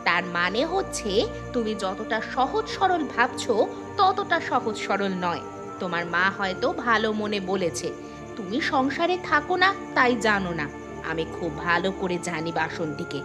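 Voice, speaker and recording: A woman's voice: medium pitch, big pitch swings, moderate pace, close to an everyday voice. A woman voicing a suspicious, sarcastic farmer's wife in a moral-story cartoon. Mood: suspicious, insistent. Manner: sarcastic, insistent. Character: suspicious, sharp-tongued village farmer's wife. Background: music.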